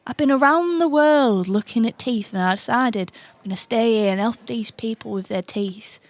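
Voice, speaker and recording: A woman plays a towering bone man who works as a dentist, anxious and forever apologetic. The voice is a bit high, flustered and pleading, looping over its own phrases. It is improvised comedy roleplay. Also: normal speed, natural voice. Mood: fretful, apologetic.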